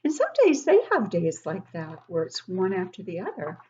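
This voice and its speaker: A woman reading a picture book aloud to young children, expressively, pausing to explain things and ask the listeners questions. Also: fairly low pitch, wildly swooping pitch, dark tone, highs cut off, slight background noise. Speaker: children's book club host and reader.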